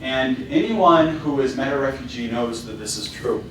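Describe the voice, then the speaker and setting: A man's voice: mid-range voice, moderate pace, a little hiss or hum. Founder talk: a man with a mid-range voice speaking casually, heavy echo, recorded from far away. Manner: casual, with many fillers and restarts. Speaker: company founder giving a talk. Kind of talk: presentation to students. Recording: very echoey hall, voice from afar.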